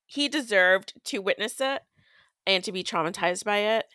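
Woman podcast host, clean smooth voice, dry close sound, chatty rambling book recap with slang. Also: mid-range voice, normal speed, sharp tone, no room sound.

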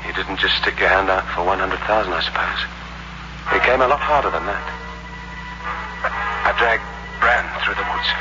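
Casting: male actor for a brooding hard-boiled murderer confessing in radio noir; low register, muffled vintage sound, heavy music. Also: natural voice. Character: desperate male impostor turned killer, narrating his crimes. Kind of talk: 1960s radio suspense drama narration and acting. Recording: muffled old radio recording, loud music.

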